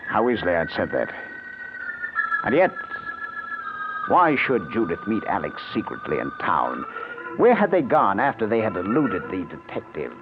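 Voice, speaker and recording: A man's voice: lowish voice, wildly swooping pitch, moderate pace. An actor playing a suspicious, tormented husband who narrates his own story in intense, melodramatic old-time radio mystery style. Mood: brooding, tormented. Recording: tinny old radio broadcast, music behind.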